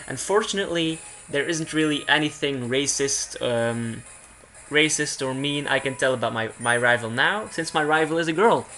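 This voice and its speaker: Bright-voiced young man, rambling gamer. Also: fairly high pitch, normal speed. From Belgium. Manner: rambling, self-interrupting. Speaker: video game streamer. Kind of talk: casual let's play commentary.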